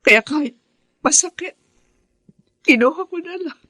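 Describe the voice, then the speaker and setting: A self-sacrificing, struggling mother in a Filipino radio drama. Her voice sits fairly high and carries earnest emotion. The sound is very dry and close. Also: animated, unhurried, pushed and bright, no room sound, full character voice. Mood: sorrowful, resolute. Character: struggling, self-sacrificing widowed mother of five, market vendor.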